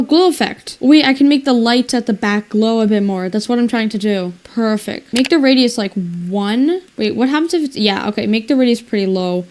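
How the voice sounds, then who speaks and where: A woman's voice: fairly high pitch, a little mellow, a little hiss or hum. A woman narrating her video editing in a casual, rambling way, thinking aloud and exclaiming to herself. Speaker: video editor and creator narrating her screen work.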